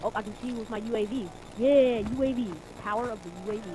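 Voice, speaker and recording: Fast-talking eleven-year-old boy gamer. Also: sits high. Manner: fast, teasing trash talk. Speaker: gamer and channel host. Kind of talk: casual gaming chat. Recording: noisy home mic over game sounds.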